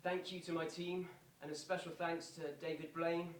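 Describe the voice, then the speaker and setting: An actor playing an earnest male pop star making a slow, pleading, repetitive theatrical speech to stadium football fans. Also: fairly high pitch, little pitch movement, lightly acted. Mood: grateful, loving. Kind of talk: theatrical public speech, reenacted from quoted address. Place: indoor performance venue, recorded from a distance. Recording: roomy, distant, noisy live theatre recording.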